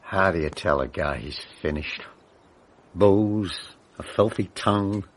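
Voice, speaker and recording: A man's voice: deep voice, unhurried. An actor playing an ageing Hollywood film director in a radio drama, cynical, bitter and bluntly laconic, a man in his sixties. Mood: bitter, wistful. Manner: laconic, worldly, bluntly defiant.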